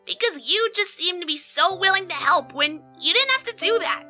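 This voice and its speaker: A woman's voice: very high-pitched, expressive, moderate pace, pushed and bright, voice pushed up, exaggerated voice. A woman voicing a cocky, lovestruck young female pegasus pony in a cartoon fan fiction reading. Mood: hesitant, flustered. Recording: music behind the voice.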